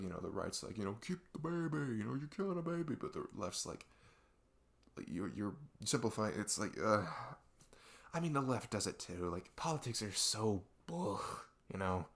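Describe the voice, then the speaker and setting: Man acting a rambling best friend, gravelly low voice. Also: fairly bright tone. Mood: exasperated, ranting. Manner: rambling, halting, filler-heavy, laughs self-consciously. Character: rambling, earnest male best friend venting and confiding. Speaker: ASMR audio roleplay performer. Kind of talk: casual improvised-sounding roleplay monologue addressed to the listener.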